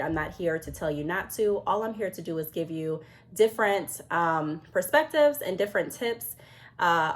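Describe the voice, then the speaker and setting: Congested woman giving casual advice, lowish voice. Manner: chatty, frequent ums, reads from notes. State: congested, sick with a cold. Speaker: business vlogger. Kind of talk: casual advice talk to camera.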